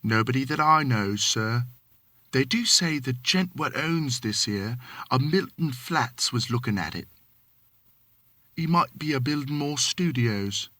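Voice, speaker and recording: A man's voice: medium pitch, lively intonation, unhurried, bright, forceful tone, no room sound. A man voicing a nervous, deferential young Cockney servant who keeps calling his master sir.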